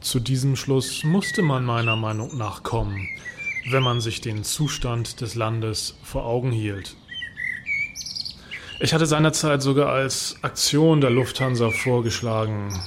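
A man's voice: lowish voice, background noise. A man playing a smug, opinionated storyteller in a satirical audio drama monologue. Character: opinionated, smug male former travel-hater telling his story.

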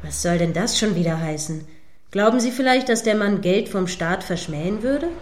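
A woman's voice: mid-range voice, expressive, moderate pace, a little hiss or hum, close to an everyday voice. A woman playing a prim, combative radio news anchor in a radio play, speaking formally with pointed, sceptical irritation. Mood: exasperated. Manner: stern, pointed, formally articulate.

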